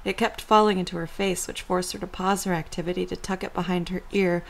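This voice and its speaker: A woman's voice: lowish voice, level tone, fairly quick, vocal fry. A woman narrator reading a scripted story for an audio drama.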